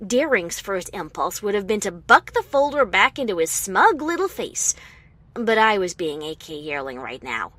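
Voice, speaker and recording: A woman's voice: medium pitch, normal speed, no echo. A woman voicing a tense pony author who narrates her own story dramatically, as a fan fiction reading. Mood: tense, wry. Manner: dramatic, expressive.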